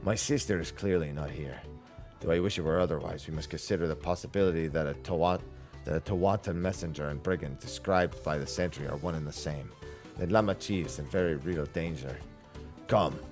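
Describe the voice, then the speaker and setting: An earnest fantasy adventurer read aloud by a man speaks in a low voice with formal, archaic wording. The lines come from a video game, with faint music behind. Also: brisk, darkish tone. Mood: grave, resolute. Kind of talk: reading game dialogue aloud.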